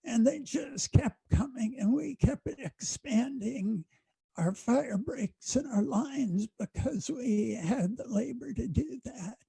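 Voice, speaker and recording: Hoarse older man on a video call, low and dark in tone, dry close sound, rambling thanks. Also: no echo. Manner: folksy, rambling, halting with fillers. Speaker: award recipient, fire-fighting landowner. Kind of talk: informal acceptance speech.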